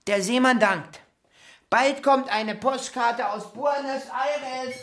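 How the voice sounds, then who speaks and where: A woman playing an earnest, naive little would-be seaman in a children's radio play, speaking deliberately. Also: sits high, fairly bright tone. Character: male would-be sailor Mr. Cuckoo, earnest, plucky, naive.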